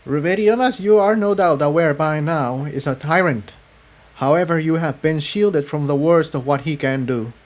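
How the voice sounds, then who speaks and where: A man's voice: fairly high pitch, moderate pace, voice pushed up, close to an everyday voice. A man voicing a female pony defector in a story, weary and hesitant, choosing words carefully. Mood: earnest.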